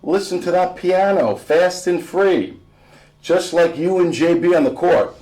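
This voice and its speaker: A man's voice: high voice, unhurried, a little mellow, voice pushed up, poor audio. An American man voicing a proud, playfully insistent jazz-loving father in a storybook, with emphatic delivery.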